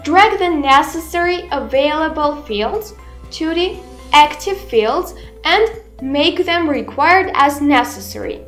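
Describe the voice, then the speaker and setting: A woman in her twenties presents a scripted software tutorial. Her voice is high and very melodic, with plenty of rise and fall. She speaks in a deliberate, instructional way, in a roomy, echoing space. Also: lively intonation. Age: 20s. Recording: roomy echo, music underneath. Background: background music.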